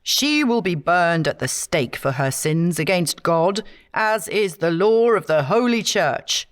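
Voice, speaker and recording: A woman's voice: lowish voice, expressive, measured pace. A woman voicing an outraged, pompous male witchfinder in a declamatory storybook reading. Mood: outraged, commanding.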